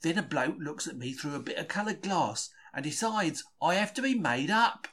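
A man's voice: fairly high pitch, expressive, normal speed, fairly bright tone. A man with a British accent reads a diary aloud as scripted first-person narration, telling it in a wry, colloquial way with old-fashioned slang. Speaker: reader of a diary for a podcast.

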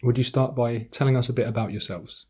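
Bright-voiced, husky male podcast host. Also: medium pitch, steady tone, fast talker. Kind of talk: scripted podcast introduction and interviewing.

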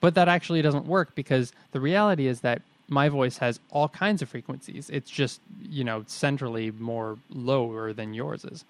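A man's voice: mid-range voice, normal speed, few pauses, no room sound. A young adult man co-hosting a podcast in casual chat, wry and jokey, restating ideas to check them. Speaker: podcast co-host.

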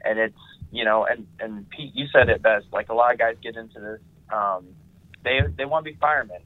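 A man's voice: mid-range voice, fairly quick, dry recording. A man hosting a casual podcast conversation, speaking earnestly and affirming the others with frequent "mm-hmm"s. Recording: tinny phone-like sound.

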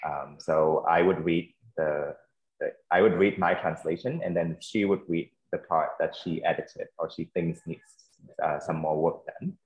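Vietnamese-accented guy answering panel questions, really low voice, talks thoughtful with lots of ums. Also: a little mellow, roomy sound, dull audio. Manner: thoughtful, measured, with frequent fillers and restarts. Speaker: translator, panelist.

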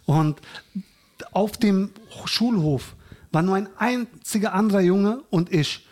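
A man's voice: sits high, takes it slowly, darkish tone. A man chatting casually on a podcast, telling anecdotes and acting out the dialogue as he goes. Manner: acts out quoted dialogue while telling stories.

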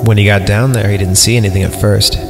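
Man, podcast storyteller, sardonic, mocking read-aloud, gravelly fry, music behind. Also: on the low side, steady tone, fast. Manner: sardonic, reads punctuation aloud. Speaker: podcast host reading creepypasta. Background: background music.

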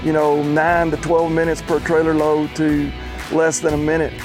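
A man's voice: on the high side, completely flat delivery, normal speed, over music. A man, a racehorse trainer, talking plainly and matter-of-factly in an interview clip.

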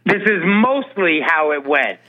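A man's voice: a little high, sing-song, unhurried, phone-quality sound. A man, a guest actor, in casual, dry comic banter.

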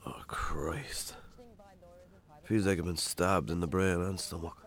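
An actor playing a hungover, defensive Irish farmer in a naturalistic radio drama. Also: male voice, low-pitched, takes it slowly. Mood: groggy, pained. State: hungover, groaning.

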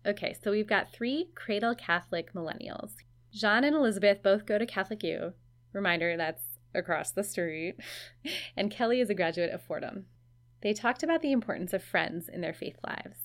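A woman hosts a podcast and narrates in an easy, conversational way. She is lightly self-deprecating and keeps a relaxed pace. Her voice sits at an ordinary middle pitch. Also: normal speed. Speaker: podcast host.

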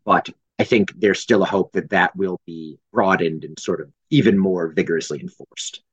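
A man's voice: sits low, normal speed, husky, dry recording. A man speaking as an advocate in an interview, recorded in dull lo-fi audio. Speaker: housing justice advocate, interviewee. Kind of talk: interview remarks.

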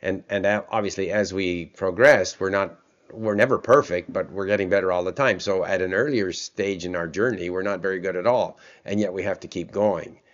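A man with a very deep voice hosts the interview, thinking aloud as he asks his questions and restarting his phrases along the way. His voice comes through dull and lo-fi, with the top of the sound missing. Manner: thoughtful, reflective, a little halting. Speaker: interview host.

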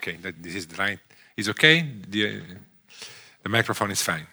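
An Italian man with a strong Italian accent, a guest economics lecturer opening his talk in English, halting with many fillers and restarts. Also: fairly low pitch, lively intonation, pushed and bright. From Italy.

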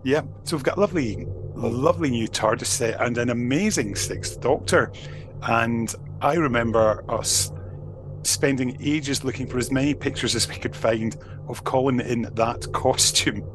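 A man hosting a podcast, playful and fond of wordplay, a bit gravelly from a cold. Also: mid-range voice, normal speed, music in the background, low-quality recording. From Scotland. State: slight cold, more gravelly than normal. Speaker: podcast host. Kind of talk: podcast chat and interview. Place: at a table across from his co-host.

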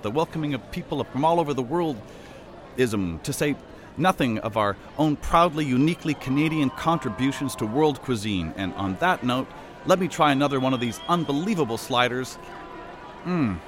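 A man's voice: medium pitch, animated, fairly quick, a crowd in the background, close to an everyday voice. An actor playing a flustered, over-polite event organizer in a satirical audio drama, stammering and eager to please while hiding his exasperation. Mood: gushing.

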